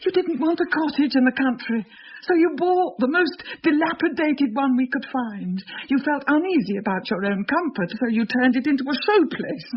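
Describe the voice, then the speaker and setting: An actress playing a cool, candid wife in a radio play, speaking with firm, articulate bluntness. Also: female voice, sits high, animated, moderate pace. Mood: coolly accusing. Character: Rachel, cool, candid wife bluntly analysing her husband. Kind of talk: radio drama acting. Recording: dull old radio drama recording.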